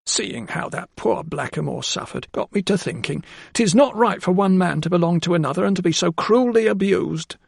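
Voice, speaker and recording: A man's voice: high-pitched, expressive, normal speed, creaky, breathy edge, no room sound, studio quality, pitched above the performer's own voice, full character voice. A man voicing a remorseful woman housekeeper who speaks in a quavering, pleading voice. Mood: tearful pleading.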